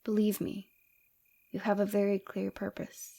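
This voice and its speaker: A woman playing a flirtatious, forward rebel villainess with a secretly caring side, in a soft, teasing, intimate ASMR roleplay. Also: medium pitch, completely flat delivery, unhurried, creaky. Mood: tender, concerned.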